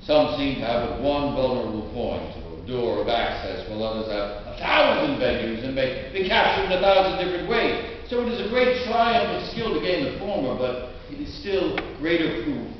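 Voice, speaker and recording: A man storyteller reads a classic tale aloud in a dramatic, old-fashioned manner, with emphatic swells and pauses. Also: medium pitch, sing-song. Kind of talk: theatrical live literary reading. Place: inside a historic stone church. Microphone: distant microphone in the room. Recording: echoey church, distant mic, dull, faint noise.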